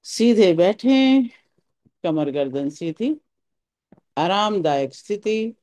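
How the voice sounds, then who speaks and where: A meditation teacher giving calm, soothing guided instructions in short phrases with pauses. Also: medium pitch, no echo, dull audio.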